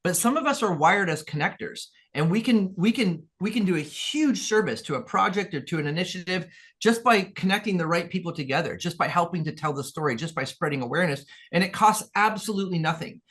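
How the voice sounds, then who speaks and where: A man's voice: high-pitched, animated, fairly quick, fairly bright tone. A man from Peru, a guest in an interview, telling his story earnestly in a rambling way, with many restarts. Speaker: guest, business owner.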